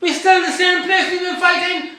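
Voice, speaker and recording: A man's voice: very high-pitched, fairly even intonation, brisk, bright, forceful tone. An older man from Barbados, a guest author, talking in a rambling, emphatic way that repeats points and trails off. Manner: emphatic, repeats himself, trails off mid-thought. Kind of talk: rambling conversational storytelling. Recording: very echoey room.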